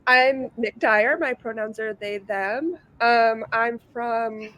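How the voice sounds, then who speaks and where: Slow, high-pitched woman panelist. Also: pushed and bright, no echo, rough recording. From southern and eastern United States. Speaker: panelist, painter. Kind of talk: casual, halting self-introduction. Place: indoors on a video call.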